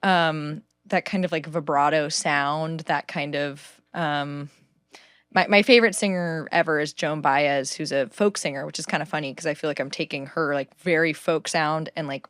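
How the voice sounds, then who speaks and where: A woman from California with a low alto voice, chatting casually as a guest and laughing often. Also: steady tone, normal speed, a bit bright, no echo. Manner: chatty, many "like"s and frequent laughs. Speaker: singer-songwriter guest. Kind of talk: casual interview chat.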